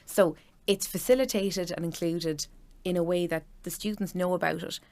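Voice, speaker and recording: A woman's voice: fairly low pitch, steady tone, moderate pace, darkish tone. A woman in her thirties with an Irish accent, chatting enthusiastically as a parent about her children's school. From Ireland. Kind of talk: casual chat.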